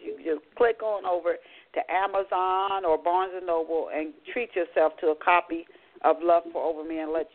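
A woman hosting a book talk radio show, chatty and animated, often restarting her sentences. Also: low voice. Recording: tinny phone-line audio.

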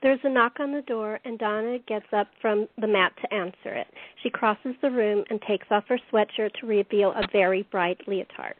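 A woman host narrating a radio play, reading the script deliberately, phrase by phrase. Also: medium pitch. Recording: dry, tinny phone-line audio.

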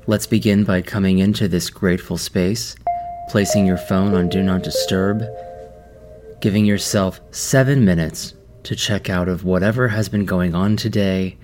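A man leading a guided meditation in a measured, deliberate manner with long pauses. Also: low-pitched, steady tone, darkish tone, faint music behind.

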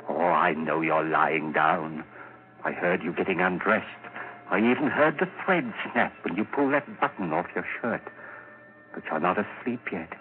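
Obsessive murderer for old radio thriller, very low and unhurried, tormented, on a muffled vintage recording. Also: male voice. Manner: hushed, halting dramatic monologue. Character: tormented murderer whispering to himself, stealthy and obsessive. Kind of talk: 1940s radio horror acting.

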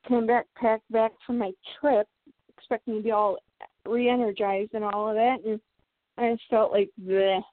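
A woman hosts a casual fan talk show in a fairly high voice, chatty and prone to wandering off topic. She sounds a little worn out. Her voice comes through a thin, phone-like line with a dry, close sound. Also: flat delivery, moderate pace, no room sound. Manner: chatty, rambling, easily sidetracked. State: tired. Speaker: podcast host.

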